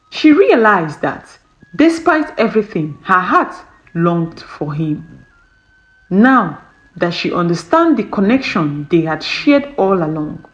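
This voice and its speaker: Woman, story narrator, dramatic romance narration, slow pace, medium pitch, animated intonation. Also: low-quality recording.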